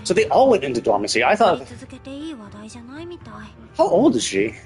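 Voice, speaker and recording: A man with a husky voice giving casual, rambling livestream commentary, thinking aloud and often rephrasing his own questions. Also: on the high side, huge pitch swings, moderate pace, darkish tone, soft background music. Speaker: livestream host.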